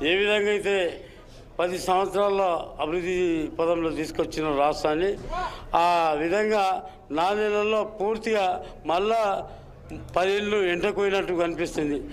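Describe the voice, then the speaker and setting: High-pitched, emphatic male politician. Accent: Telangana Telugu. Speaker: local legislator. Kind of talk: political interview answers.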